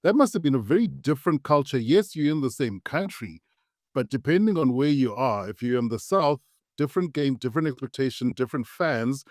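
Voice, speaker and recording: A man hosting a podcast, chatting in a relaxed way and laughing easily. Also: medium pitch, fairly quick, no room sound, studio quality. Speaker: podcast host. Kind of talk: casual podcast chat.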